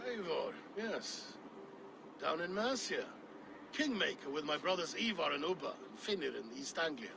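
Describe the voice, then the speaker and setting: A boastful Viking warlord from a game, gravelly and rather high for a man. He is imperious and mocking, his pitch swinging widely as he commands. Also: lively intonation, normal speed, noisy background. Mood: dismissive, mocking. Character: boastful domineering Viking warlord, conqueror of the North.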